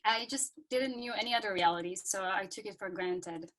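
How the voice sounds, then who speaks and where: Israeli woman on a video call, slow hesitant reflective talk, lots of ums. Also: mid-range voice, a bit bright, low-quality recording. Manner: hesitant, many ums, reads a quote aloud. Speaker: guest speaker.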